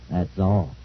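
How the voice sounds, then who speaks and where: A man's voice: low-pitched, highs cut off, slight background noise, exaggerated voice. An actor playing a sinister, all-knowing, mockingly amused male narrator in a 1940s radio mystery. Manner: ominous, taunting, laughing to himself. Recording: thin old radio recording with music.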